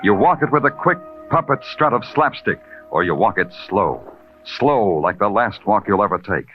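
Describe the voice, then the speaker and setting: An American actor playing a hard-boiled, world-weary New York police detective in 1940s radio noir, terse and brooding. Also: male voice, fairly low pitch, lively intonation, normal speed, soft background music, natural voice. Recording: muffled vintage radio recording.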